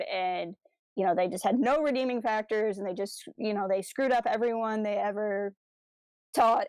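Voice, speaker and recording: A woman's voice: mid-range voice, a little mellow, dry recording, low-quality recording. A woman co-hosting a podcast, talking casually in an earnest, reflective and analytical way. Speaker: podcast co-host.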